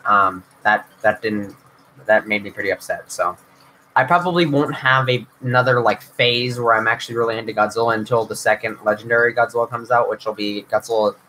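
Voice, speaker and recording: A man's voice: on the low side, steady tone, brisk, sharp tone, a little hiss or hum, poor audio. A young man hosting his own channel, answering viewer questions in a casual livestream, rambling with lots of restarts. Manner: rambling, filler-heavy, frequent restarts. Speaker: YouTube channel host.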